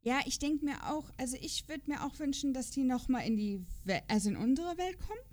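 Female podcast host, high-ish voice, informal conversational delivery, unscripted chat. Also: a little fast, a little mellow. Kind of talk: casual chat about audio drama episodes.

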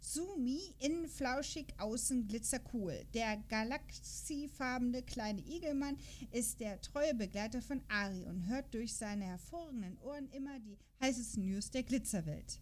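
Woman, podcast host, highish voice, casual, playful, stumbling read-aloud, faint background noise. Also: expressive, normal speed, hardly any pauses, darkish tone. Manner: playful, giggly, stumbles while reading. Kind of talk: casual chat mixed with reading character profiles aloud.

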